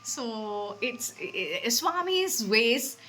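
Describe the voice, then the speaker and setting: A woman with an Indian accent conducting a conversational interview, phrasing her questions in a halting way. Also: a little high, very animated, crowd noise, rough recording.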